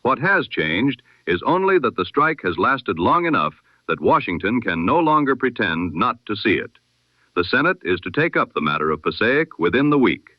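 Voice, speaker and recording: A man presenting a scripted news bulletin in 1920s radio style, with a formal, measured, solemn delivery. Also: lowish voice, very animated, dry recording, lo-fi sound.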